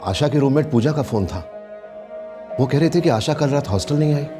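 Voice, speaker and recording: A man's voice: mid-range voice, animated, a little fast, over music, close to an everyday voice. An actor playing a worried middle-aged father in a TV crime serial. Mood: anxious, grave.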